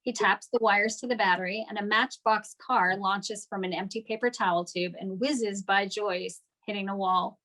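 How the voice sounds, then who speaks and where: Medium-pitched woman reading stage directions. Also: steady tone, moderate pace, few pauses, fairly bright tone, poor audio. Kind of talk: scripted narration at a table read.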